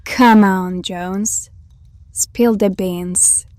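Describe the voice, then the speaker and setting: A woman's voice: medium pitch, steady tone, very slow, a little mellow, no room sound. An actress playing a teasing, sardonic, hard-drinking female hireling who coaxes her companion in an audio drama. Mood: coaxing.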